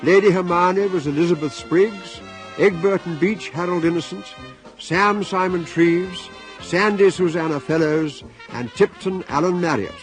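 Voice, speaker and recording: A man's voice: sits high, a little slow, faint music behind. A man with a British accent reading scripted narration and announcements for a radio drama.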